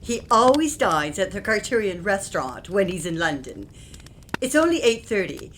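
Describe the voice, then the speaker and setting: A woman's voice: medium pitch, sing-song, moderate pace, a bit bright. A composed woman of a period mystery, acted by a woman speaks in a mid-range voice. She is a helpful, concerned wife in an audio play. There is faint background noise. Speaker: actor in audio drama.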